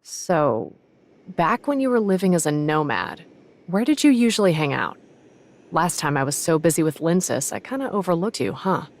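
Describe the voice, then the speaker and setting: An actress playing a proud, principled young fleet officer in an anime game, composed, frank and firm. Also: female voice, fairly low pitch, big pitch swings, normal speed. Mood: casual. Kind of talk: English anime game voice acting.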